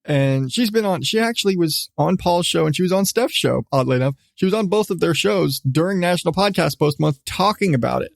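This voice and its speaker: A middle-aged man hosting a casual solo podcast, talking in a rambling, halting way with repeated restarts. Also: fairly high pitch, animated, creaky, no room sound, studio quality. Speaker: podcast host.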